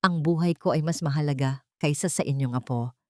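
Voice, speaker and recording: A woman's voice: low voice, fairly quick, warm tone, no room sound, lightly acted. Audio drama villainess, read by a woman: sweet surface, selfish menace, gloating, close dry sound. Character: sweet-voiced evil woman, vain and gloating. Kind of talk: dramatic audio story dialogue.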